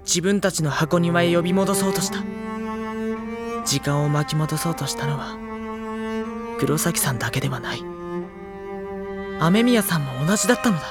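A man's voice: fairly high pitch, lively intonation, moderate pace, long pauses, lightly acted. Casting: man voicing sensitive teen boy narrator, sorrowful and tender, literary drama delivery, prominent cello backing. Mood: solemn, impassioned. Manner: solemn, emotional, earnest. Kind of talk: literary first-person audio drama narration. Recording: loud string music behind narration. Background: cello and bowed string music.

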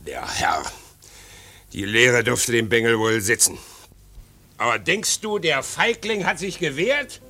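A man's voice: medium pitch, animated, slow pace, long pauses, sharp tone, heavily acted. A man in a German radio drama playing a cocky, aggressive tavern rowdy, sarcastic and provocative. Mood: sneering.

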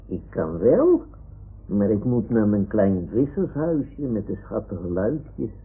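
A man's voice: fairly low pitch, sing-song, takes it slowly, husky, heavily acted. A man voicing a self-important toy lion who poses as an old seafarer in a radio play, speaking in boastful declarations. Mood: boastful, dreamy. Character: boastful toy lion posing as sea lion and retired sea captain. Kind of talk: 1960s-70s Dutch radio drama acting. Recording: muffled, phone-like old radio recording.

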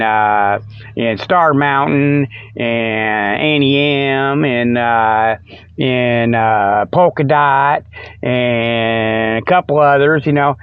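A man's voice: mid-range voice, clear, resonant tone, dry recording. A man hosting a radio show in a rambling, folksy, jokey monologue full of homespun exclamations. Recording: muffled, dull lo-fi radio stream.

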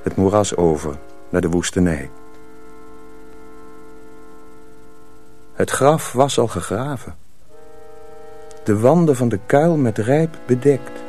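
A man narrating a dark folk tale for a radio drama, measured and solemn. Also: lowish voice, animated. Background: music.

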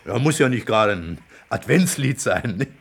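An easygoing companion in a radio drama. He speaks at a middling pitch with very lively melody, casually coaxing and gently teasing. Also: moderate pace, husky. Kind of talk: German radio play dialogue.

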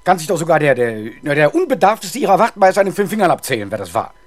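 A man's voice: fairly high pitch, sing-song, fast talker. An actor playing an indignant, pompous male town councillor in a 1970s German radio crime drama. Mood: indignant, blustering. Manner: blustering, pompous.

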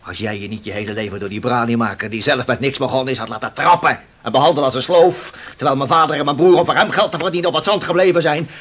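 An actor playing a hot-tempered young sailor in a radio play, scornful and combative. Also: male voice, medium pitch, fast, hardly any pauses, a bit bright, close to an everyday voice. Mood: bitter, mocking. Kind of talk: theatrical radio play acting. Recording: older radio drama recording.

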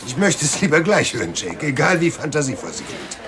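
An actor playing a detective's guarded superior in a radio drama, sceptical and businesslike. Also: male voice, a little high, sing-song, a little fast, crowd noise. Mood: impatient, sceptical. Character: impatient, guarded male superior of a police detective. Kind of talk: scripted radio drama dialogue.

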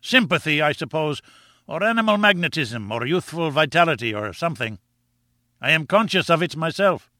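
A man's voice: a little high, very animated, moderate pace, pushed and bright, no echo, studio quality, pitched above the performer's own voice. A man voicing a kindly, crabbed old Scottish newspaper editor in an audiobook, with an avuncular, exclamatory way of talking. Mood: musing, encouraging. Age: older. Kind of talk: audiobook character dialogue.

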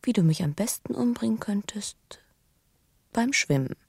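Breathy, intimate young woman in radio drama. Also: mid-range voice, expressive, no room sound. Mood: hushed confession. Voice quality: breathy, often sinking to a whisper. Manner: hushed, confiding, deliberate phrasing. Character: young pregnant woman, earnest and intimate lover with a guilty past. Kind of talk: German radio drama acting.

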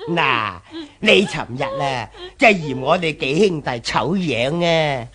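An actor playing a leering, gloating hunchbacked kidnapper, cackling and taunting in a 1970s Cantonese radio drama from Hong Kong. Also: male voice, fairly high pitch, lively intonation, measured pace, crowd noise.

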